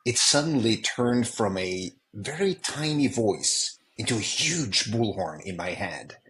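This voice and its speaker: A man from Switzerland speaks as a guest on a remote podcast, sitting a bit low in pitch. He is earnest and reflective, and he acts out past conversations as he tells them. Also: very animated, unhurried, fairly bright tone, a little hiss or hum, poor audio. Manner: earnest, reflective, quotes others in dialogue. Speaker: executive coach, guest. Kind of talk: podcast interview, storytelling. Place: remote call.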